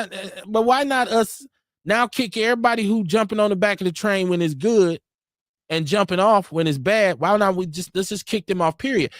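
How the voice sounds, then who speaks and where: A man's voice: high voice, no room sound, clean studio sound. A middle-aged American man giving opinions in casual discussion, emphatic and repeating phrases to drive points home. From United States.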